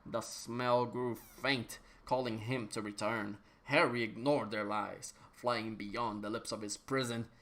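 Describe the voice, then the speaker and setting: A male narrator with a mid-pitched voice reads an absurd story aloud. He performs it theatrically, stretching phrases out with mock gravity, as a comic dramatic reading. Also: steady tone, a little slow, hardly any pauses, a bit bright.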